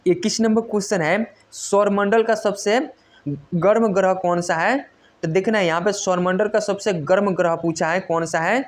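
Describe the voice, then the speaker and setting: A woman's voice: medium pitch, steady tone, normal speed. A woman teaches in a quiz-style exam lesson, reading out questions and stressing each answer repeatedly in an instructional way. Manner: instructional, repetitive emphasis on key answers. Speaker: exam coach.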